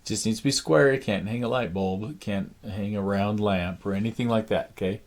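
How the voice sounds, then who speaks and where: A man teaching a drawing lesson, thinking aloud slowly with many pauses, his voice raspy. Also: fairly low pitch. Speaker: art teacher. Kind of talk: drawing lesson, talking while sketching. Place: art studio.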